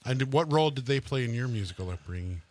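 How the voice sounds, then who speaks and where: A man's voice: medium pitch, expressive, fast talker. A man co-hosting a radio interview, asking curious, friendly questions in the studio. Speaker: radio co-host.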